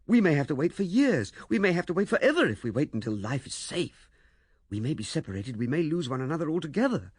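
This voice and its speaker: A man's voice: fairly high pitch, creaky, slightly rough, no echo, studio quality, voice pushed up, full character voice. A man voicing an ardent, determined young suitor in an audiobook, speaking with urgent, insistent emphasis. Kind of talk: audiobook character dialogue.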